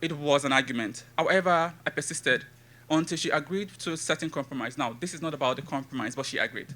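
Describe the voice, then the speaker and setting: Bright-voiced Nigerian man reading poetry. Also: fairly high pitch. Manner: measured, rhythmic recitation with listed phrases. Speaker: conference speaker and poet. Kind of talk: academic talk with poetry reading.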